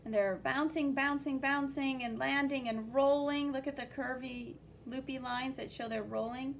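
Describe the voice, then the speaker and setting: High-voiced woman reading animatedly to children. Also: normal speed, creaky, slight background noise. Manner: expressive, exclaiming, with spoken sound effects. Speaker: art teacher reading a picture book aloud. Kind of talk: animated children's read-aloud with commentary.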